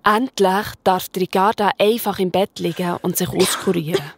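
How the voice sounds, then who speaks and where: A woman's voice: on the low side, steady tone, normal speed, no echo. A woman with a Swiss accent narrating a children's story in a colloquial style.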